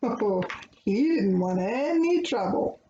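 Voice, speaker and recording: A woman reading a children's book aloud in an expressive, dramatic way, as if explaining to young listeners. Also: medium pitch, slow pace, lo-fi sound. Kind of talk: expressive storybook read-aloud with asides explaining words. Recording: roomy echo, clear background noise.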